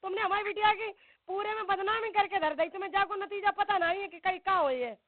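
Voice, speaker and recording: A woman's voice: very high voice, fast talker, no echo. A middle-aged woman with a rural western Uttar Pradesh accent, arguing accusingly on a phone call and cutting in often. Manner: argumentative, accusatory, interrupting. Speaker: caller, mother in a family dispute. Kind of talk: heated phone argument. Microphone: telephone. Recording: narrowband phone call recording.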